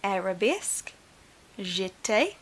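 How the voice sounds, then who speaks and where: A woman's voice: mid-range voice, very animated, takes it slowly. A woman voicing a grand, kindly but commanding dance mistress in a storybook, theatrical and genteel.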